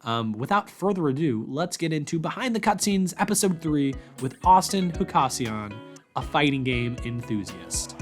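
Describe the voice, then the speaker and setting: A man hosting a podcast in a casual, chatty, informal way with animated emphasis. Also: on the high side, normal speed, faint music behind. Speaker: podcast host.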